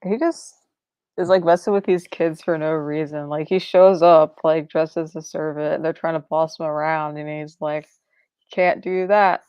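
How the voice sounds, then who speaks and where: Woman with a really low smooth voice chatting books, dry but kinda muffled audio. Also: steady tone, normal speed, a little mellow, no room sound. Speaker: co-host of a book readalong. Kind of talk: casual book discussion. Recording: dull, muffled stream audio.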